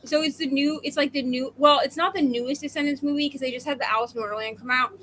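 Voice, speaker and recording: High, bright-voiced woman chatting. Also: steady tone, fast, slight background noise. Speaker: book discussion co-host. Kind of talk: casual chat, personal anecdotes.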